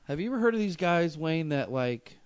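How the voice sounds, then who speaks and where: A man's voice: on the high side, lively intonation, a little fast, smooth, no room sound. A man hosting a casual comedy chat, loose and joking with plenty of swearing and fillers. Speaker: host. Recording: dull lo-fi live stream audio.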